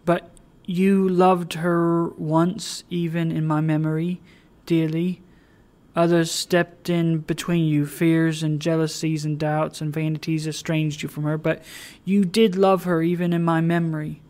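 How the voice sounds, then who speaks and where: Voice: mid-range voice, flat delivery, unhurried, darkish tone, lightly acted. Reader voicing a fallen, sorrowful young woman. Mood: imploring.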